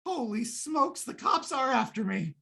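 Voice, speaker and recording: A woman playing a frantic, hapless working man in an old-time radio drama, stammering and flustered in a husky voice. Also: a little high, expressive, measured pace, bright, forceful tone, full character voice. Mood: frazzled.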